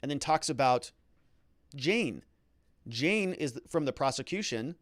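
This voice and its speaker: A man's voice: mid-range voice, lively intonation, a little slow, no echo, clean studio sound. A man hosting a legal commentary show, recapping a trial and reading quotes aloud with emphatic, theatrical stresses.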